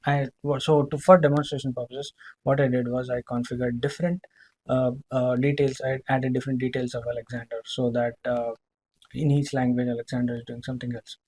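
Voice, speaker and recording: A man demonstrating software in a live screen-share walkthrough, speaking haltingly, repeating himself and often checking in with a short tag question. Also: medium pitch, husky, rough recording. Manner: halting, repetitive, checking in with "right?".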